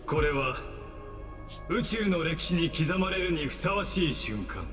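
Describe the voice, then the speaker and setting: A man playing a calm, coldly courteous mastermind in a game, speaking formally and with measured condescension. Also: a little high, long reverb tail, over music, natural voice. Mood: smug, composed. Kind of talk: Japanese anime-style game voice acting.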